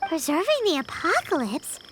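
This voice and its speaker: A woman voicing a tiny, excitable, childlike fairy companion in a video game, blunt and curious in a cartoonish way. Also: extremely high pitch, normal speed, heavy background noise, heavily acted. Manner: childish, exclamatory, refers to self in third person.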